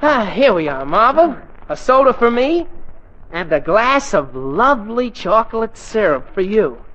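An actor playing a scheming, excitable American teenage boy in a 1940s radio sitcom, eager and flustered. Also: high-pitched, measured pace, full character voice. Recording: muffled, hissy 1940s radio recording.

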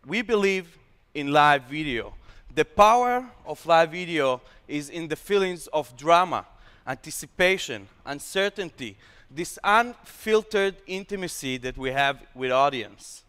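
A young Israeli man, a startup founder on stage, pitches with lively gestures and slightly broken English. His voice sits a bit high and sounds smooth and resonant. The sound carries a long reverb from a large hall. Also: a little slow. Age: young adult.

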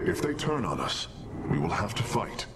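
Alien scientist, game cinematic, pensive, formal, animated pitch, heavy background noise. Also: male voice, moderate pace, creaky, exaggerated voice. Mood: cautious. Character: cerebral alien scientist, cautious and curious. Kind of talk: dramatic video game cinematic acting. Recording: game audio with loud ambient rumble.

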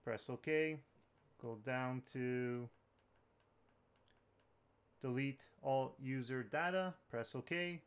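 A man's voice: mid-range voice, drawn-out words, long pauses, clean tone. A man hosting a tech tutorial, talking viewers through steps in an informal, plain-spoken way. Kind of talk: casual step-by-step tutorial narration.

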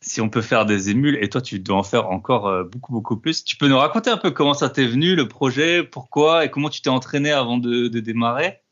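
A man from Alsace hosting a podcast interview, asking questions and answering with quick "ok, top" affirmations. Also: medium pitch, a bit bright. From Alsace, France. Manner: friendly, short affirmations. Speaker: podcast host. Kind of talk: casual interview. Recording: dull lo-fi call audio.

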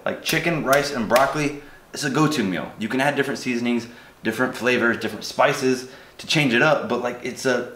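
A man's voice: medium pitch, a bit bright. A young American man chatting casually and informally in a home vlog, in a slangy, chatty way. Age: 20s to early 30s. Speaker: fitness food vlogger. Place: home kitchen, indoors. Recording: roomy echo, clear noise and clicks.

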